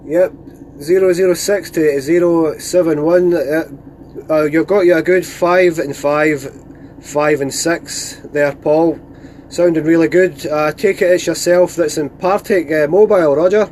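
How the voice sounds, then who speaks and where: Higher-voiced Scottish man chatting on CB radio. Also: fairly even intonation, a little slow, darkish tone, a little hiss or hum. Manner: chatty, informal, radio procedure phrases. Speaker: radio net operator filming a live stream. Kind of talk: casual CB radio chat. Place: inside a parked van outdoors in the countryside.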